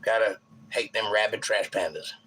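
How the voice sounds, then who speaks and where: A hoarse man in his sixties hosting a casual livestream, rambling and reading out viewer comments. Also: lowish voice, normal speed, low-quality recording. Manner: rambling, folksy storytelling. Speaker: livestream host. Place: home livestream with guitar. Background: loud guitar playing.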